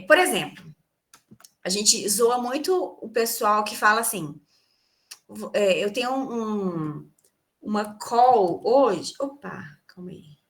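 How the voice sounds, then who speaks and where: A woman's voice: a little high, bright, forceful tone. A woman English teacher from Brazil giving an online class on a video call, explaining terms and quizzing her students. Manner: explanatory, asks students questions and answers them.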